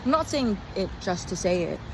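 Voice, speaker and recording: A young adult woman talking in a casual, rambling video diary, halting and candid, often interrupting herself. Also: mid-range voice, very animated. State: physically tired. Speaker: vlogger and acting student. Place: outdoors on an urban walk. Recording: outdoor street noise, passing cars. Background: traffic and city ambience.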